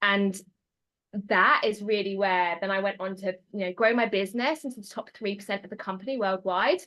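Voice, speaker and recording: A British woman in her thirties, a coach and podcast guest, talking in a bubbly, quick way with many fillers. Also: medium pitch, steady tone, fairly bright tone. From United Kingdom. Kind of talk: casual podcast interview.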